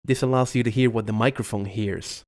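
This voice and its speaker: Guy reading a script for his channel, formal and steady, average pitch, close home mic. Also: brisk. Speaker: video creator. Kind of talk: scripted voiceover narration. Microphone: USB condenser mic, close.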